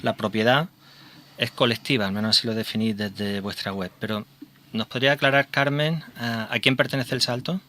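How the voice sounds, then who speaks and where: A man hosting a local radio interview, asking measured, conversational questions. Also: medium pitch, fairly even intonation, pushed and bright, a little hiss or hum. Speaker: radio host.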